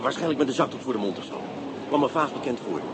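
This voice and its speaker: A man's voice: mid-range voice, big pitch swings, fast talker, heavy background noise, close to an everyday voice. An actor playing a brusque male police inspector with dry humor and little patience. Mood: urgent. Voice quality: gruff, impatient, dry humor. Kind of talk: radio drama acting.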